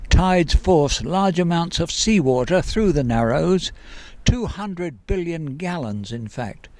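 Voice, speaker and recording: A man reading in a measured, deliberate storytelling way, as an author presenting his own novel. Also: fairly high pitch, gravelly. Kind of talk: scripted narration and book reading. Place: on location at a coastal narrows.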